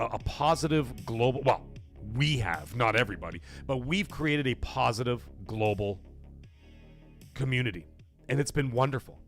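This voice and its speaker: A man's voice: medium pitch, fairly bright tone. A middle-aged man rambles through a casual gaming livestream in a mid-range voice. He swears freely and restarts his thoughts as he goes, with faint music playing under him.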